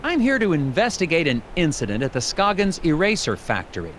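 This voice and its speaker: An actor playing an earnest, awkward, polite government investigator in a video game, officious and slightly hesitant. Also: male voice, on the high side, huge pitch swings, brisk, fairly bright tone, slight background noise. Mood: polite, inquiring. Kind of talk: video game character dialogue and dictated reports.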